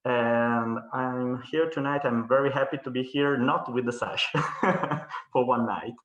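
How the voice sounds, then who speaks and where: A man's voice: medium pitch, steady tone, takes it slowly, roomy sound, muffled recording, background noise. A man introducing himself as a guest on a casual video call, in an upbeat way.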